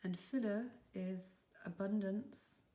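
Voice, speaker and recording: A young woman with a cold and a slightly sniffly voice, giving a gentle, reassuring tarot reading with some softly whispered asides. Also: medium pitch, slow. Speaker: tarot reader. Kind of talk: pick-a-card tarot reading.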